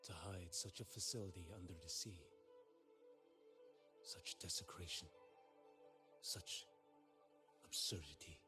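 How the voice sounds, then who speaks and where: A very deep-voiced, stern warrior from a video game. He speaks in short, grave, commanding phrases with a brooding coldness, over faint music. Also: a little slow, long pauses. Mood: stern resolve. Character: stern, brooding swordsman, a cold avenging warrior.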